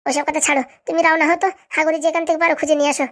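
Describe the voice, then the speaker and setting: A woman playing a sharp-tongued, scheming young village daughter in a comic cartoon, sassy and matter-of-fact. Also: high voice, level tone, rapid-fire. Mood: brisk, conspiring.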